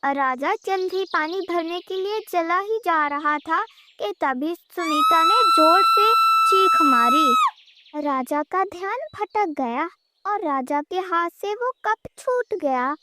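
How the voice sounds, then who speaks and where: A woman's voice: extremely high pitch, very animated, takes it slowly. A woman narrating a scripted children's cartoon story.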